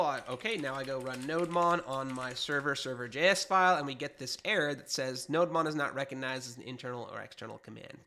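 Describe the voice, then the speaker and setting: Stuffed-up man in his thirties, bright and slightly high voice, chatty programming instructor at a desk mic. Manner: explanatory, conversational, self-correcting. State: stuffed up with allergies. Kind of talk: screen-share coding tutorial. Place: small home studio, indoors.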